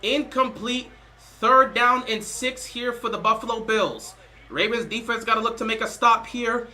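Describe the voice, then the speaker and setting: Excitable young man calling plays. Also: very high voice, moderate pace. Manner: excitable, calls plays then chats loosely. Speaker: live stream host doing play-by-play. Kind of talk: casual play-by-play commentary.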